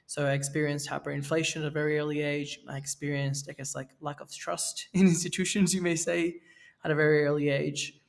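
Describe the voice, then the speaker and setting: A man in his thirties from Venezuela, a podcast guest speaking in a relaxed way, with fillers and restarts. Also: on the high side, a little fast, gravelly. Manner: relaxed, with fillers and self-corrections. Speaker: guest, NFT project co-creator. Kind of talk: casual podcast interview. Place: Mexico, where he is now.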